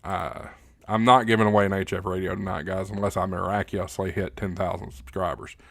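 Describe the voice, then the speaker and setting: A man's voice: deep voice, level tone, fairly quick, gravelly, no room sound, clean studio sound. A man hosting a casual live Q&A, reading out viewer comments and answering in a folksy, plain-spoken way. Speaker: livestream host.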